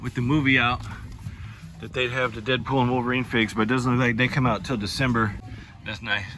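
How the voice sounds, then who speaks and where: A man's voice: mid-range voice, flat delivery, fairly quick, bright, forceful tone, a little hiss or hum. A man hosting a vlog, talking in a casual, chatty way with slangy, laid-back fan enthusiasm. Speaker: YouTube host reviewing movies and toys.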